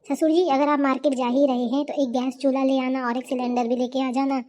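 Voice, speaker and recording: Woman voicing a high-pitched sassy young bride. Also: level tone, fast, a little mellow, close to an everyday voice. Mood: defiant. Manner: indignant, complaining, talks back. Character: sassy, defiant young newlywed daughter-in-law in a village. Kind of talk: Hindi cartoon family comedy dubbing.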